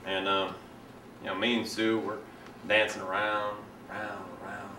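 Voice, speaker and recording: A man performing a dramatic monologue as a self-righteous, resentful young man, telling his story in a halting, colloquial, confiding way. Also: fairly low pitch, little pitch movement, pushed and bright. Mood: casual, confiding. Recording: echoey room, distant mic, faint noise.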